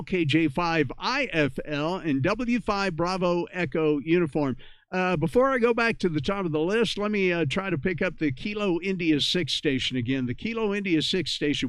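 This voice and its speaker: American male net host, highish clear voice, close dry sound, careful phonetic call signs. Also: normal speed, dry recording. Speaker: amateur radio net host. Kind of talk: radio net check-in hosting.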